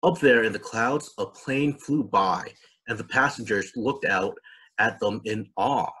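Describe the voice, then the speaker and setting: A man's voice: lowish voice, measured pace, pushed and bright, husky, low-quality recording. A man reading a children's story aloud as a scripted narration. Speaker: storybook reader.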